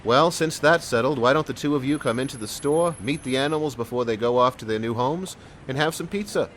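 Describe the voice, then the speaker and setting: An actor playing an earnest, smooth-talking police sergeant who tells his story in an audio drama. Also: mid-range voice, fairly quick, fairly bright tone, a little hiss or hum, lightly acted. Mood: coaxing. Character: persuasive, good-hearted male police sergeant and storyteller. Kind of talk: audio drama acting and first-person narration.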